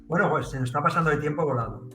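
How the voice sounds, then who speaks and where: A man from hosts a relaxed fitness podcast, talking with a bright, somewhat high voice. He affirms his guest readily and fills pauses with hesitant sounds. The audio has a noticeably roomy echo from a video call. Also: faint music behind. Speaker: podcast host and trainer. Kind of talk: casual interview chat.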